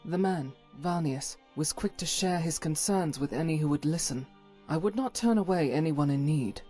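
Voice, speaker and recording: Zealous woman cleric for fantasy game, acted, low for a woman, formal, unyielding, faint music. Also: moderate pace, mellow. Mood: resolute. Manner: solemn, formal, righteous. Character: zealous, stern female cleric, devout and unyielding. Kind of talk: video game voice acting.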